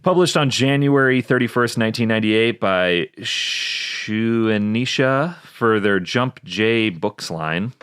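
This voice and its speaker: A man's voice: fairly low pitch, animated, slow pace, hardly any pauses, a bit bright, clear, resonant tone, dry recording, studio quality. A man co-hosting a podcast in casual, joking chat with some reading from notes. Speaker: podcast co-host.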